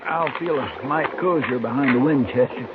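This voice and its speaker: A man's voice: mid-range voice, moderate pace, lightly acted. An actor playing a plain-spoken, loyal frontier man in a 1950s western radio drama, with a folksy American drawl. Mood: uneasy, resolved. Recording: noisy, muffled vintage radio recording.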